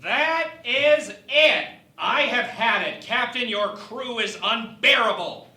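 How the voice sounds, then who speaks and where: A man playing a haughty, scheming alien ambassador in a parody sci-fi radio play, speaking in a theatrical, deliberate manner. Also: very high voice, expressive, bright, forceful tone, roomy sound, full character voice. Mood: exasperated.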